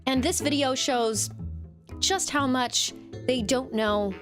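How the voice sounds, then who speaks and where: Woman commentator, higher-pitched voice, scornful explanatory read over clips. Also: slow pace, over music.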